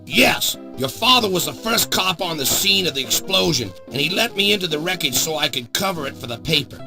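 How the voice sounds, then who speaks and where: An actor playing a gruff, blunt, middle-aged big-city newspaper boss in an adventure game, with music behind him. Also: male voice, fairly high pitch, animated, a little fast. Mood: hearty. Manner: blunt, bossy, emphatic. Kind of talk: scripted adventure game acting. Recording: music under the voice.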